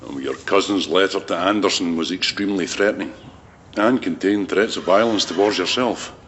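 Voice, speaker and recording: A calm police detective in a radio play. His voice is low and he questions in a probing, official way. The track is slightly muffled with some noise. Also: normal speed. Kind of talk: naturalistic radio drama acting.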